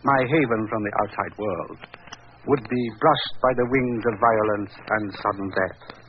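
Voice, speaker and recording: An actor playing a dignified, sardonic Chinese prince in 1940s radio drama, speaking in a formal, flowery manner. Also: male voice, fairly low pitch, measured pace, close to an everyday voice. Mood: solemn, grateful. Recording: muffled, hissy old radio broadcast.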